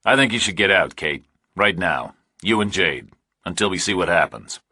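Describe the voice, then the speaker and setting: A man's voice: deep voice, animated, moderate pace, pushed and bright, husky, dry recording, clean studio sound, heavily acted. A man voicing a blunt, commanding investigator in a thriller audiobook, terse and direct.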